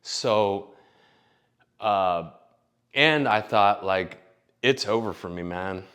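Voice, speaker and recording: A man's voice: fairly low pitch, extremely slow, a bit bright. A man in his late thirties telling stories in a rambling, profane, casual monologue full of fillers. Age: late 30s. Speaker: vlogger.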